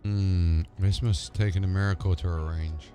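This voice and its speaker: A man's voice: low-pitched, steady tone, normal speed, mellow, soft background music. A man giving casual, matey, off-the-cuff commentary as he livestreams a video game.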